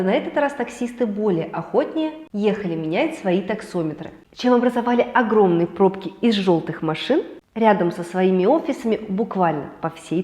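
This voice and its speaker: A woman hosting a weekly celebrity and travel news roundup, hoarse from a cold, lively and chatty with personal asides. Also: medium pitch, echoey room. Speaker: news show host.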